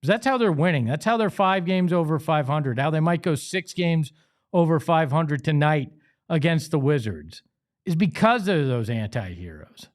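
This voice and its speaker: A man's voice: a little high, animated, normal speed. A man with an American accent hosting a sports show, speaking in an emphatic, conversational way. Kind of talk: opinionated sports talk and host-read ads.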